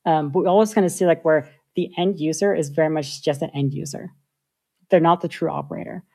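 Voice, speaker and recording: A woman, a software engineer, talking through her ideas in a casual interview with lots of filler likes. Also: sits low, fairly even intonation, brisk, gravelly, breathy edge. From United States. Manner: thoughtful, many filler likes.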